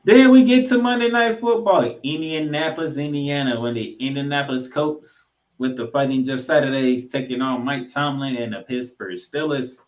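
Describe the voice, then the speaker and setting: A man's voice: medium pitch, moderate pace. A man hosting a sports podcast, giving recap commentary with stats and emphatic delivery of names and numbers. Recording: roomy, echoing room sound.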